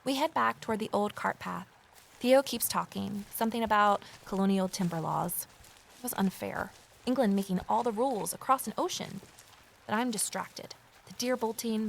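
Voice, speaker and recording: A woman's voice: on the high side, creaky, a little hiss or hum. A woman playing an earnest, uneasy amateur investigator, confiding and intent as she narrates an audio drama. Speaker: narrator and lead character.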